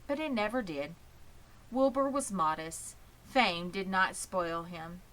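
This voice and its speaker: A woman narrating a children's story in an expressive, measured way. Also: lowish voice, low-quality recording. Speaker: audiobook reader.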